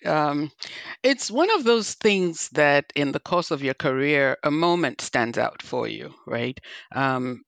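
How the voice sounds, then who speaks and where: Nigerian-accented woman with a low, dry-recorded voice. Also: creaky, no room sound. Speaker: guest, physician and health executive. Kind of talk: interview storytelling.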